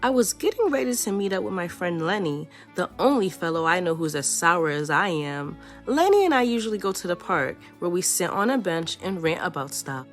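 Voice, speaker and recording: A woman reading a children's picture book aloud in a playful, expressive storytelling way. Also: fairly low pitch, a little fast, hardly any pauses, soft background music.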